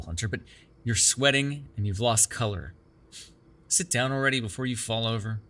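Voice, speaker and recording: A man's voice: mid-range voice, background noise. A man playing a sardonic but caring captive vampire in an immersive ASMR roleplay, talking in a wry, teasing, profane conversational way. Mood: concerned, scolding. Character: sardonic, caring immortal male vampire held captive in cuffs.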